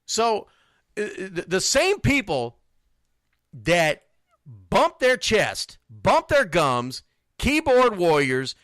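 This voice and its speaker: A man's voice: sits high, wildly swooping pitch, very slow, long pauses, a bit bright, no echo, clean studio sound. A man hosting an unscripted sports talk show, answering listeners in a blunt, emphatic way and repeating phrases for stress. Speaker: sports radio show host.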